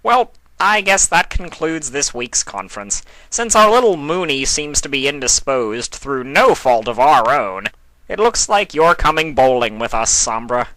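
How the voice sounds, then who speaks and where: A man's voice: a little high, sing-song, measured pace, sharp tone, dry recording, voice pushed up, heavily acted, rough recording. A man voicing a mischievous, smug god of chaos in a storybook reading, with a mocking, theatrical lecturing manner. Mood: playful.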